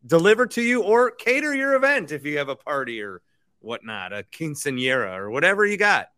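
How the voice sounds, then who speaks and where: A man's voice: fairly high pitch, sing-song, brisk, bright, forceful tone. A man co-hosting a casual sports podcast with a dry, joking way of talking. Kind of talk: casual sports chat with sponsor reads.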